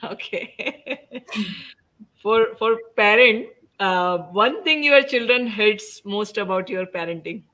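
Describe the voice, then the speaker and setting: A woman's voice: medium pitch, lively intonation, slow pace, a bit bright, poor audio. A middle-aged woman informally hosting a panel discussion on a video call, putting questions to the panelists and prompting them. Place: indoors at home, on a video call.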